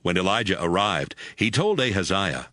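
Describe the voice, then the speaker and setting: A man's voice: low-pitched, sing-song, moderate pace, sharp tone. A man reading scripted narration for a dramatized audio Bible as its storyteller.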